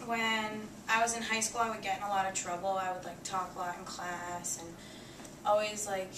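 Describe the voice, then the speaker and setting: A woman's voice: mid-range voice, little pitch movement, normal speed. A twenty-year-old woman from New Jersey, a student giving a casual personal introduction to her class, rambling and leaning heavily on "like". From New Jersey, USA. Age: 20s. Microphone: far camera. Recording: echoey classroom from far off with noise.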